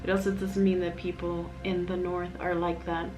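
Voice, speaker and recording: A woman's voice: on the low side, completely flat delivery, normal speed, over music, low-quality recording. A young adult woman from Miami, chatting candidly and reflectively in a casual personal vlog, with fillers and restarts. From Miami, USA. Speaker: vlogger, single mom living in Mexico.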